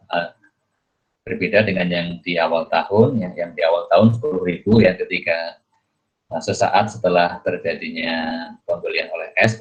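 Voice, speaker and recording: A man with a very deep voice gives an explanatory lecture. He works haltingly, posing questions and adding little check-in tags as he goes. The recording is muffled and lo-fi. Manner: repeatedly adds "ya" as a check-in tag; halting, thinking aloud with questions to the listener. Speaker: accounting lecturer. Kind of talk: explanatory screen lecture, working through a worksheet.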